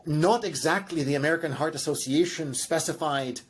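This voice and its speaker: A man's voice: on the high side, normal speed, slightly rough. A man with a Russian accent, a genetics professor giving long, enthusiastic explanations with many restarts and fillers. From Russian by ancestry. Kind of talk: explanatory interview answers.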